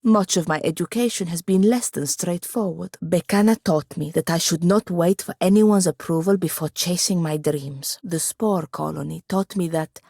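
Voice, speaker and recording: A proud young woman wizard from a fantasy video game. Her voice sits low and has a gravelly creak. She speaks in formal phrases with a dry, sardonic edge, in a very dry, close recording. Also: moderate pace, hardly any pauses, warm tone, dry recording. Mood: reflective. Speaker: game companion character. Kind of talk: scripted video game dialogue.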